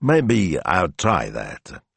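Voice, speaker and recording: A man's voice: low voice, huge pitch swings, unhurried, gravelly, fairly bright tone, breathy edge, no echo, pitched above the performer's own voice, full character voice. A man voicing an awkward, well-meaning Italian father and shopkeeper in an audiobook story. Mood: awkward, hesitant.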